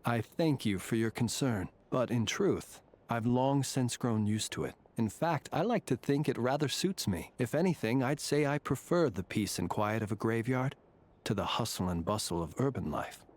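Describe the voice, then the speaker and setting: Polite spooky gentleman gravekeeper acted by a man, mid-pitched, very formal and wry, video game dialogue. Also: close to an everyday voice. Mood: calm, grave. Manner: formal, measured, genteel, wry. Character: courteous, eccentric gentleman graveyard warden, calm and faintly eerie.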